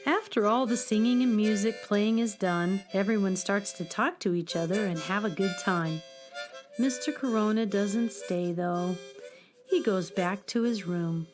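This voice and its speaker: A woman narrating a children's radio play in a calm, plain storytelling manner. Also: mid-range voice, measured pace, music in the background.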